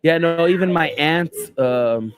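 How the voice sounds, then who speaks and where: A man's voice: a little high, slow. A man hosting a podcast in a casual interview chat, hesitant and full of fillers, swearing casually. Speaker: podcast host.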